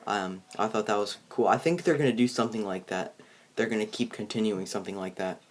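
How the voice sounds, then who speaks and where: Low-pitched man, halting casual film chat. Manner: halting, often breaking off and restarting mid-sentence. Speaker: host of a movie review show.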